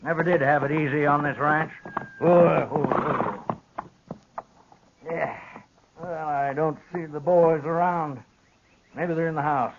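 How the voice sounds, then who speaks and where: Gruff old western rancher, muffled radio. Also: male voice, on the high side, fairly even intonation, slow pace, long pauses, noisy background. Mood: folksy, weary. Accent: American Western drawl. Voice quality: folksy rural speech. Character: gruff, stubborn old rancher father, quick to anger. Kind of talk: 1950s radio western acting. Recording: dull old radio recording.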